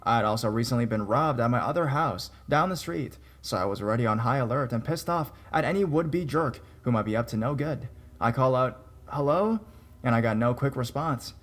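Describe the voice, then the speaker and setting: A man's voice: mid-range voice, animated, fairly quick. A man narrating true horror stories sent in by readers, reading them aloud with wry, sarcastic asides.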